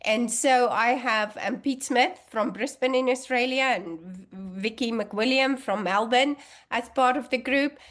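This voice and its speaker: A woman's voice: fairly high pitch, measured pace, a bit bright. A middle-aged woman whose first language is Afrikaans, talking casually as an interview guest with many fillers and restarts. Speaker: guest allergy researcher.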